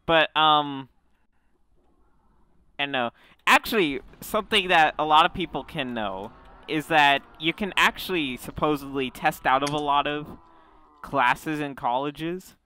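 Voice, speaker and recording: Bright, clear-voiced young man rambling. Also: fairly high pitch, measured pace. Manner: rambling, many fillers and restarts. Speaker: podcast host. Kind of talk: casual group chat.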